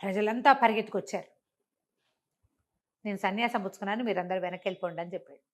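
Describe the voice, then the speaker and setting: A woman's voice: mid-range voice, fast. Older woman telling a moral tale. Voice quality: animated, acts out the characters' lines within the telling. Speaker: storyteller.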